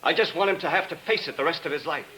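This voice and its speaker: An actor playing an unhinged, grandiose criminal in a 1950s radio drama, coldly polite yet prone to bitter ranting. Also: male voice, on the high side, racing, bright, forceful tone, poor audio. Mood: cold contempt. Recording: old 1950s radio broadcast recording.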